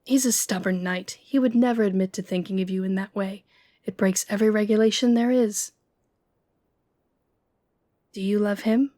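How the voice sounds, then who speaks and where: A woman's voice: medium pitch, steady tone, moderate pace, long pauses, mellow, dry recording, studio quality, natural voice. A woman playing an outspoken, gossipy young lady-in-waiting in a romantic fantasy audio roleplay, informal, teasing and openly indignant. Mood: indignant, candid.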